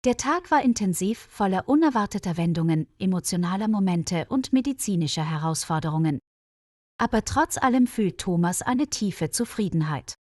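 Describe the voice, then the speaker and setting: Female storyteller, mid-pitched, clear and measured, dry close-miked studio read. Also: lively intonation, darkish tone, dry recording. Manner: clear, measured, evenly paced reading. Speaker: narrator. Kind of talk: scripted story narration for language learners.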